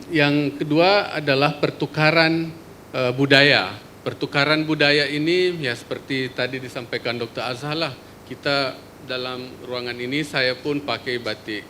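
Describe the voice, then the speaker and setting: Indonesian man presenting in a hall, bright tense voice, easygoing and halting, faint rumble behind. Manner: relaxed, joking, many hesitations. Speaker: forum speaker, media researcher. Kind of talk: conference presentation. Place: lecture hall.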